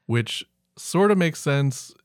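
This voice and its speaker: A man's voice: fairly high pitch, animated, slow pace, dry recording, studio quality. A man co-hosting a podcast in casual, humorous chat, reading out words and rambling into offhand asides. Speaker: podcast co-host.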